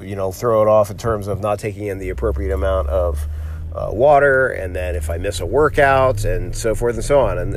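A middle-aged man speaks with a congested, sniffly voice, nasal from a cold, as he hosts his own podcast. His talk is reflective and encouraging, dotted with ums and restarts. Faint street and car noise sits under the voice. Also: fairly low pitch. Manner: reflective, motivational, halting with fillers and restarts. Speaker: podcast host, physician. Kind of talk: casual solo podcast monologue.

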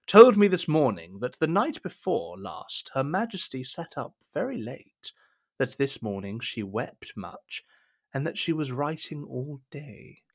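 A man's voice: mid-range voice, very animated, a little slow. An actor playing a scheming, deferential cardinal advising a king in a period audio drama, with a formal, insinuating, courtly manner. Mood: measured, sly.

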